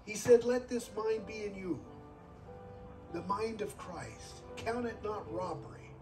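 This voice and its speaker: A man in his sixties preaches at a live service in a high voice. His delivery is earnest, circling back over phrases to drive them home. He sounds somewhat far from the microphone, with music clearly behind him. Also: measured pace. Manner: earnest, repeats phrases for emphasis, calls for amens. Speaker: guest preacher. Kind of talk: sermon with altar call.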